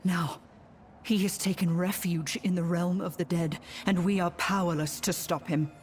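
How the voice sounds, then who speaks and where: A woman's voice: on the low side, steady tone, moderate pace, darkish tone, soft background music, close to an everyday voice. A woman voicing a determined, grim necromancer adventurer in a fantasy game, speaking formally and with earnest restraint. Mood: urgent, earnest. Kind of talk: fantasy video game acting.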